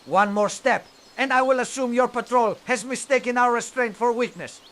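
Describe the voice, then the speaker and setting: An actor playing a cold, haughty elf patrol leader, speaking formally and with disdain in a fantasy audio drama. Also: male voice, very high voice, normal speed, a bit bright, slight background noise. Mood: cold threat.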